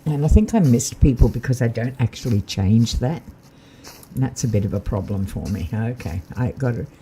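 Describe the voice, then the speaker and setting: A man with a slightly croaky voice, chatting casually and thinking aloud while he live-streams a game. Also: mid-range voice, lively intonation, normal speed, warm tone, slight background noise, poor audio.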